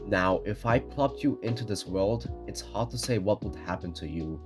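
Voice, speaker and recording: A man's voice: lowish voice, lively intonation, moderate pace. A man reading scripted explainer narration in a matter-of-fact, orderly way. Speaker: narrator of a ranking video. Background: background music.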